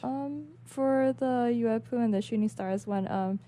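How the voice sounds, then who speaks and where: A woman's voice: on the high side, a little slow, dark tone, a little hiss or hum. A woman speaking as a guest writer in a casual radio interview, giving hesitant, agreeable replies.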